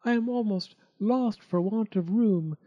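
A man as pleading, high-pitched brook water spirit. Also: moderate pace, dull audio, natural voice. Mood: pleading, distressed. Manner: pleading, hushed. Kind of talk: storybook character voice.

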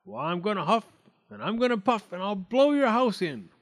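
A man's voice: sits high, very animated, normal speed, a bit bright, voice pushed up. A man voicing a big hungry storybook wolf, sly and sneering, with brash, slangy swagger. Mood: menacing, blustering.

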